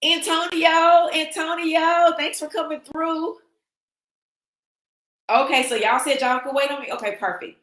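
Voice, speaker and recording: A woman with a slightly raspy, travel-worn voice hosts a casual livestream and chats with viewers. She talks in an animated way and reads their comments aloud. The sound is echoey, as if recorded in a bare room. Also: sits high, measured pace. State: losing her voice from travel. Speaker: livestream host. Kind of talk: casual livestream sign-off chat.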